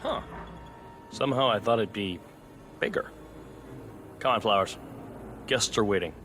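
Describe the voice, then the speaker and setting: An actor playing a brusque, wisecracking head of security in a video game, talking casually with sarcastic commands. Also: male voice, fairly low pitch, a little slow, fairly bright tone. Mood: sardonic, then impatient. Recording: music under the voice.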